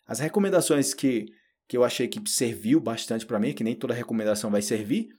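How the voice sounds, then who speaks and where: A Brazilian man with a Brazilian Portuguese accent, giving a relaxed, chatty podcast lesson and addressing learners directly. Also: medium pitch, brisk, breathy edge. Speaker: Portuguese teacher. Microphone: solo audio recording.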